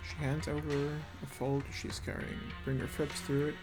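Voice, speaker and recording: Casting: laid-back commentary from a game player, medium-pitched voice, reading bits aloud, music clearly behind. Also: level tone, normal speed, low-quality recording. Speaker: streamer playing a game. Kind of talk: casual reaction commentary, reading captions aloud.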